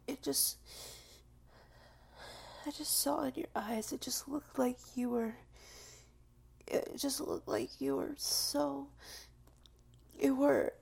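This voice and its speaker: A woman playing a clingy, submissive, tearful lover in an intimate audio roleplay, speaking in halting, repeated phrases with a breathy, creaky whisper. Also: high-pitched, sing-song, a little mellow, full character voice. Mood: shaken, tearful.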